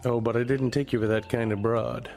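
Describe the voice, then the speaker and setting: A man playing a crude, wisecracking noir-style hero in a comedy audio drama, delivered deadpan. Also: medium pitch, fairly quick, soft background music, natural voice. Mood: joking.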